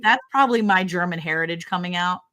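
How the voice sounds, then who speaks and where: A woman co-hosting a livestream, chatting casually and telling animated personal stories in which she quotes other people. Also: on the low side, fast talker. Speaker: livestream co-host. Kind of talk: casual chat with anecdotes.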